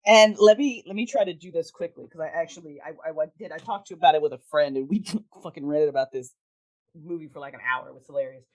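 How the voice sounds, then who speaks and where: A woman's voice: sits low, quick pace. A woman in a casual, opinionated film chat, talking in an emphatic, blunt way and swearing freely. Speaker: guest, audio drama creator.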